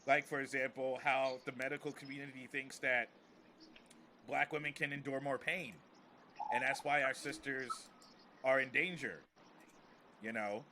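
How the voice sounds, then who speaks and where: A man's voice: mid-range voice, fairly even intonation, measured pace, pauses often, bright, forceful tone, a little hiss or hum. An American man talking casually and with strong opinions on a podcast, emphatic and repeating phrases as he builds a point. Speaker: podcast host, comedian.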